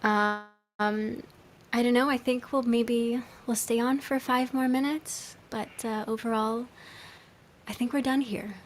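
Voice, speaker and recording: A woman with a fairly high voice talks as a musician on a livestream. Her delivery is casual and conversational, like an informal chat with viewers. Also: steady tone, slow pace, slight background noise.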